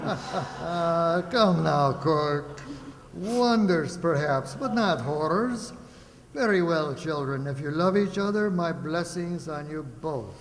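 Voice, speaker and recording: A man's voice: fairly high pitch, lively intonation, slow pace. A man playing a hearty, kindly middle-aged merchant captain and father in a radio play. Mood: genial, fatherly. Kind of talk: stage-like radio play acting. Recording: echoey hall, faint noise.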